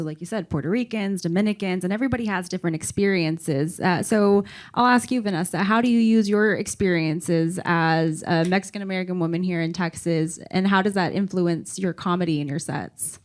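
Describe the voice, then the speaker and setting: A woman moderating a comedy panel, asking questions in a measured, conversational way. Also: on the low side.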